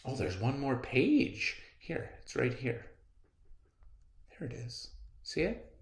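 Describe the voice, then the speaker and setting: A man's voice: mid-range voice, very animated, slow. A man reading a children's story aloud as a storyteller, with theatrical, emphatic phrasing. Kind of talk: animated children's read-aloud. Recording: roomy, echoey indoor recording.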